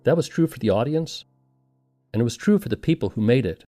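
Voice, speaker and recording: A man's voice: medium pitch, expressive, brisk, dark tone, no echo, soft background music. A man hosting and narrating a documentary podcast from a script.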